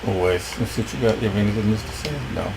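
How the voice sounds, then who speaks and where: A man with a rough voice commenting casually on his gameplay, rambling and thinking aloud. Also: on the low side, fast talker, noisy background. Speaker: let's play host.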